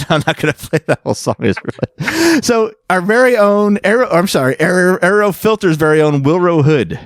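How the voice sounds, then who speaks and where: A man hosting a casual panel podcast, telling fan lore in a jokey, animated storytelling way. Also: high-pitched, fairly quick, few pauses, dry recording, faint music behind. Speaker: podcast host.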